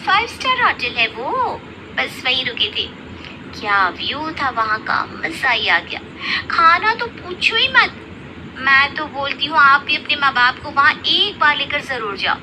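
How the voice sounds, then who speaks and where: Spoiled rich younger sister-in-law for a story drama, female performer: high voice, showy, accusing, noisy recording. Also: sing-song, unhurried. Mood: boastful. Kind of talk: Hindi moral story acting.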